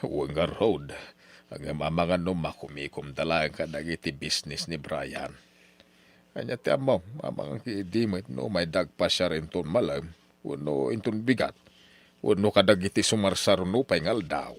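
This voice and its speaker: Deep-voiced scheming older father, radio drama. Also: expressive, normal speed, vocal fry, exaggerated voice. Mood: smug. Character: ambitious, scheming older father, pushy and insistent. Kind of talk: old radio drama acting.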